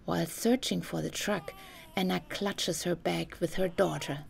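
Low, creaky-voiced woman narrating a story. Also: fairly even intonation, a little slow, slight background noise. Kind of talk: scripted documentary story narration.

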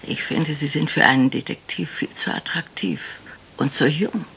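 An actress playing an outwardly frail, cunning murderess in a radio crime drama, theatrical, genteel and brittle. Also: female voice, deep voice, moderate pace, gravelly, bright, forceful tone, a little hiss or hum, exaggerated voice. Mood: frail, polite.

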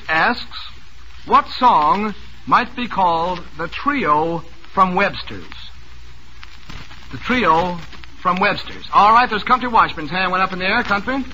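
A man with an American accent hosts a vintage radio quiz in a very bright, forceful voice. His patter is chatty and jovial. The old broadcast recording has faint noise. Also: sits high, dull audio. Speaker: radio quiz show host. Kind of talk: 1940s radio quiz hosting. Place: radio studio.

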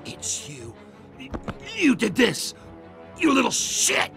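A man's voice: very high-pitched, huge pitch swings, slow, vocal fry, sharp tone, faint music behind, heavily acted. An actor playing a hysterical, accusing man crying out in a video game. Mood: hysterical rage. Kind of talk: video game cutscene acting.

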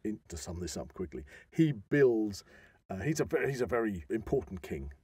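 A man's voice: lowish voice, sing-song, normal speed, mellow, slightly rough, no room sound, clean studio sound. A man co-hosting a podcast, telling and explaining an old story informally with rambling enthusiasm. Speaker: podcast co-host explaining a legend.

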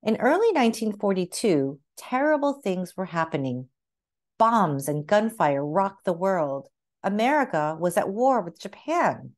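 A woman reading a children's picture book aloud as narrator, with expressive, carefully phrased storytelling. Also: medium pitch, unhurried.

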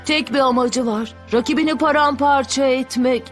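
A small cartoon boy, voiced by a woman, speaks in a high, earnest voice. He sounds friendly and vulnerable, a little hesitant, with soft music underneath. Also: moderate pace, natural voice. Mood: earnest warning. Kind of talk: dubbed cartoon dialogue.

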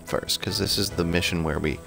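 A man doing casual game walkthrough commentary, speaking quietly so as not to wake someone, with game audio behind him. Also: sits low, steady tone, a little fast, vocal fry. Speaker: gaming streamer. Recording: game music under the voice. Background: video game music and sounds.

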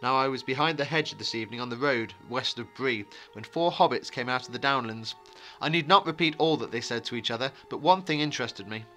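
A secretive wandering man of a fantasy tale, acted out by a male reader. He is calm, wary and commanding, with a brisk, ominous delivery. His mid-pitched voice has a bright, tense edge. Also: faint music behind, natural voice. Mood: measured, amused.

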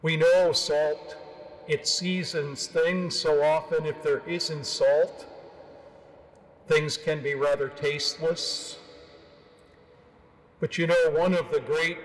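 An older man in his sixties preaches a homily from a cathedral pulpit. His voice sits a little high for a man and he speaks very slowly, in solemn, measured phrases. The sound carries a long church reverb. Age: 60s. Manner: measured, solemn, with deliberate pauses. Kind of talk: sermon. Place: large stone cathedral, at the pulpit. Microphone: gooseneck pulpit microphone.

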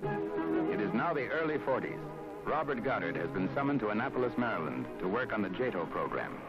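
A man reading scripted documentary narration in a measured, formal, dramatic way with weighty pauses, on an archival film soundtrack. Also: lowish voice, very animated, muffled recording. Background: prominent background music.

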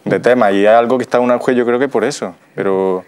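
A man's voice: medium pitch, steady tone, a little fast, darkish tone, clear, resonant tone. A man in his thirties with an accent from Spain, chatting casually and explanatorily as a guest in a studio interview. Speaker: radio theatre actor, interview guest. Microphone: lapel mic.

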